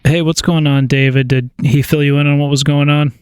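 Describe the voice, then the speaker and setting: A man's voice: fairly high pitch, level tone, fairly quick, a little mellow. A man making a prank call, talking casually and informally with a hesitant stammer. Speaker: prank caller. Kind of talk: casual phone conversation.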